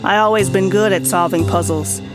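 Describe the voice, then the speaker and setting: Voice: mid-range voice, steady tone, normal speed, lightly acted. An actor playing a wry, streetwise private detective and war veteran in a noir audio drama, with a folksy hardboiled way of talking. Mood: reflective. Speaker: lead character and narrator. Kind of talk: noir radio drama dialogue and narration. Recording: music under the voice. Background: background music.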